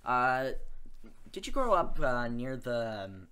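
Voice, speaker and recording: A man's voice: medium pitch, sing-song, slow pace, bright, forceful tone, clear, resonant tone. Man, podcast host, interviewer, hesitant, many fillers, casual talk.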